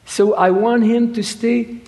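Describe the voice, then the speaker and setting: An earnest young soldier, voiced by a preacher, firm and pleading, set a little higher than the man's own voice and delivered slowly. A long reverb trails behind every word. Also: steady tone, warm tone. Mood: insistent.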